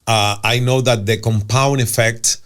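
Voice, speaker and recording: A man's voice: medium pitch, fairly even intonation, measured pace, a bit bright. Casting note: male host, Cuban Spanish accent, native Spanish speaker, earnest and emphatic, mid-range voice. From Cuba. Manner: earnest, emphatic, with frequent restarts. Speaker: podcast host. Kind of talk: casual conversational interview.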